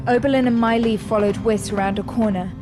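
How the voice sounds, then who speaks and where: Woman narrating suspensefully over loud music. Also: on the high side, fairly even intonation. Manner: measured, suspenseful storytelling. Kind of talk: scripted dramatic narration.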